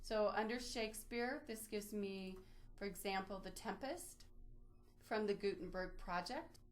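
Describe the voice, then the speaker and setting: Woman guiding an informal software tutorial. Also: mid-range voice, a little slow. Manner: informal, explanatory, step-by-step. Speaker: librarian presenting a database tutorial. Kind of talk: instructional screen-share webinar walkthrough.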